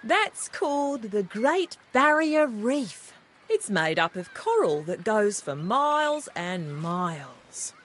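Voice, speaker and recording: A kind, welcoming kangaroo lady in a children's cartoon game. She explains gently, with a lilting, slightly husky voice. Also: on the high side, big pitch swings, slow pace, heavily acted. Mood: gentle, explanatory.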